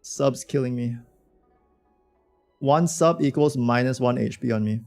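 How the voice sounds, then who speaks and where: A man streaming a game with a hoarse voice from a cold, chatting loosely and slangily with his viewers. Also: medium pitch, measured pace, darkish tone, faint music behind. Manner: slangy, rambling, talks to chat. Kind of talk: casual livestream chat reacting to a game.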